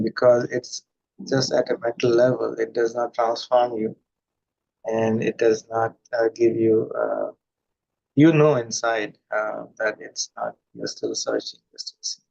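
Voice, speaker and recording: Gravelly man from India, lo-fi sound. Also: medium pitch, darkish tone. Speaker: guest. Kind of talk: reflective conversation.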